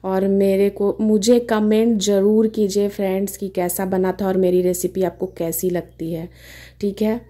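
A woman's voice: mid-range voice, flat delivery, measured pace, dark tone. A woman hosting her own cooking channel, chatting through a recipe step by step in her home kitchen. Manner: chatty, homely step-by-step explaining.

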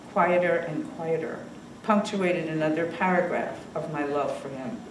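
An older woman reading her own personal essay aloud at a podium, in a measured, reflective way. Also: low voice, background noise. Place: small indoor salon with bookshelves and fireplace. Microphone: podium microphone on a stand. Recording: roomy sound from a distance.

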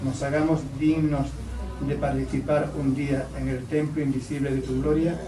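An older man with an Andalusian Spanish accent, a priest reading a blessing prayer in a steady, solemn way in a small crowded shop. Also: mid-range voice, moderate pace. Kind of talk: blessing prayer read aloud. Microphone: far camera. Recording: echoey shop from far off, noisy.

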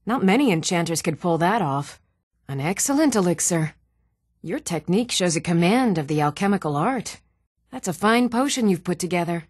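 Even-tempered fantasy townswoman, lively and dry. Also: lowish voice, moderate pace, no room sound. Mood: approving. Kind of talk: video game character dialogue.

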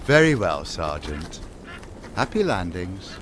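Urbane island lord, played by a man, radio-play villain, unhurried and highly animated, politely taunting. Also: very noisy, exaggerated voice. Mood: coolly commanding. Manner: grandiose, polite, drawn-out taunting delivery. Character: urbane menacing island lord, calmly mocking cult leader. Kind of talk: audio drama acting.

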